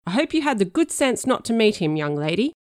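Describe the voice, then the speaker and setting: A woman voicing a gruff, impatient male police officer with a gravelly, brusque, dismissive way of talking. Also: mid-range voice, big pitch swings, brisk, dry recording, clean studio sound, pitched above the performer's own voice, lightly acted. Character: gruff impatient male cop, mocked up by a teen girl.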